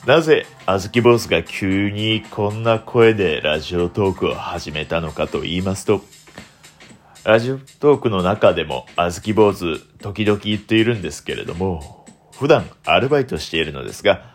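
A young man hosting a solo radio talk in a deliberately put-on deep, velvety voice, playful and self-mocking. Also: expressive, soft background music.

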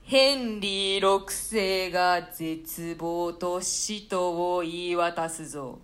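A woman voicing the ghost of an old murdered king in a radio play reading, speaking slowly and solemnly. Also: lowish voice, fairly bright tone, soft background music. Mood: cursing. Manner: slow, solemn delivery.

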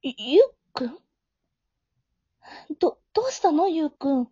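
Woman acting a high, sweet, naive young woman. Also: sing-song, a little slow, warm tone, no room sound. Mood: confused. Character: gentle, naive young woman, flustered older childhood friend. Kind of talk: amateur romantic audio drama acting. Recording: dull, lo-fi home recording.

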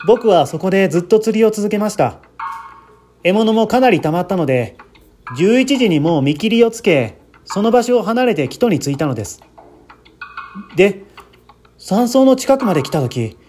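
A man's voice: high-pitched, a little mellow, a little hiss or hum. A man reading the part of an earnest, anxious man who politely recounts a friend's death in a dramatic radio-story style.

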